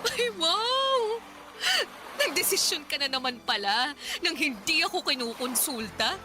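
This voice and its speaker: A woman's voice: high-pitched, sing-song, a little slow. A Filipino actress playing an exhausted, bitter common-law wife and mother in a radio drama, reproachful, sarcastic and emotional. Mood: sarcastic, tearful. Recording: music bed under the dialogue.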